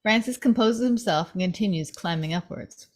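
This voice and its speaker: A woman narrates a screenplay, reading stage directions aloud for a table read. Her voice sits at a medium pitch in a scripted storytelling style. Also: moderate pace.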